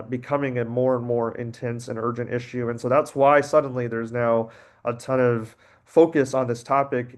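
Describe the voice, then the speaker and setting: Man presenting on a video call webinar. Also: mid-range voice. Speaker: webinar panelist.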